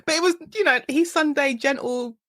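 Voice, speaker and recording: A woman hosting a podcast interview, chatty and quick to laugh as she asks questions. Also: high-pitched, fairly bright tone. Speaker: podcast host. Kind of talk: casual interview chat.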